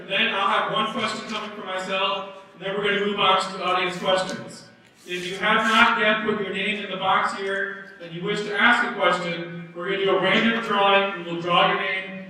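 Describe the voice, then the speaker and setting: A young man with a high, bright voice hosts a candidate forum from some distance off the mic in a very echoey hall. He talks informally and halts often, filling gaps with "uh." A faint hum sits underneath. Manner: informal, halting, lots of fillers. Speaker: forum moderator. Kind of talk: announcements and forum hosting. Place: large hall.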